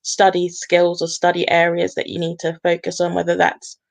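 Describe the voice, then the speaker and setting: A woman's voice: on the low side, monotone, normal speed, vocal fry. A woman who is a law student, speaking earnestly and steadily on a university panel. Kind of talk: panel discussion.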